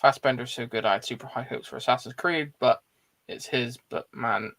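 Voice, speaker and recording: A man's voice: mid-range voice, a little fast, gravelly, fairly bright tone. A man co-hosting a casual movie chat in an informal, blunt, sweary way. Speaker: film discussion show co-host.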